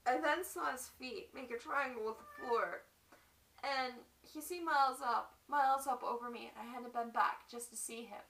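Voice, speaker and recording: A young woman performing a dramatic monologue as a lovestruck battered wife, halting and confessional, on a distant mic. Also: fairly high pitch, a bit bright. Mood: shaken, pained. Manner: halting, confessional, repeats phrases. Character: lovestruck, battered wife recalling her violent husband.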